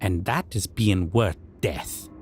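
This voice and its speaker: A man's voice: sits low, a little mellow, slight background noise. A man voicing a stern, civilized goblin king who speaks in odd broken grammar, like a menacing judge in a fantasy roleplay audio drama. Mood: coldly threatening. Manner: broken, unusual grammar with drawn-out questioning.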